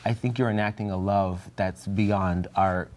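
A man's voice: low voice, steady tone, a little slow, a little mellow. A man speaking reflectively and earnestly as a panelist in a Bible study discussion.

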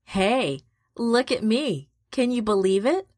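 A woman's voice: on the high side, big pitch swings, slow pace, darkish tone, dry recording, clean studio sound, heavily acted. A woman voicing Peter, an eager and impulsive fisherman, in a children's Bible storybook reading. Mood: boastful.

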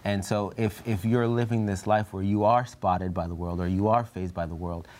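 A man's voice: lowish voice, fairly even intonation, moderate pace, darkish tone. A man speaking reflectively and earnestly as a panelist in a Bible study discussion.

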